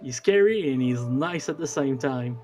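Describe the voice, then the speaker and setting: A man's voice: medium pitch, expressive, moderate pace, a little mellow, soft background music. Quebec-accented male commentator, chatty and giggly, stammery. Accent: Quebec French. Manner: chatty, laughs often, stammers on starts. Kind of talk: casual stream commentary.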